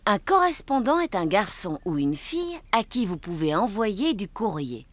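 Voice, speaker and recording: A woman's voice: medium pitch, big pitch swings, moderate pace, no echo, faint music behind, close to an everyday voice. An actress playing a friendly grown-up female animal in a preschool cartoon dub, explaining things kindly and patiently.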